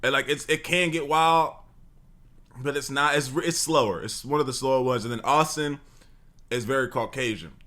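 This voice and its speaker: A man's voice: medium pitch, moderate pace, pushed and bright. A man from Texas reacting to a video in a laid-back, slangy, rambling way, with many restarts. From Texas (Dallas). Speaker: reaction streamer. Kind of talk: casual reaction commentary.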